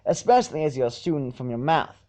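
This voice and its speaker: A man's voice: mid-range voice, sing-song, fairly quick, a little mellow, lightly acted. A man voicing a blunt, teasing, affectionate female farm pony. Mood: critical, instructing. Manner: instructive, frank, folksy.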